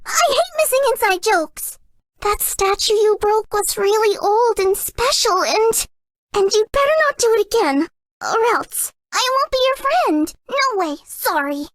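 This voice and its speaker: Game role, young female kitsune: tiny sky-high girlish voice, earnest, playful, easily flustered, close dry sound. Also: lively intonation, a little slow, no room sound. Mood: shy, playful. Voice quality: girlish, cutesy. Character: shy, sweet, excitable young female kitsune fox spirit. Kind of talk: video game character voice lines.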